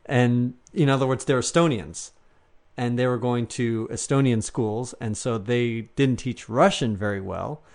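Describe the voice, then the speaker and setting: A man's voice: medium pitch, darkish tone. A man interviewing a guest, asking curious, prompting follow-up questions in a conversational way.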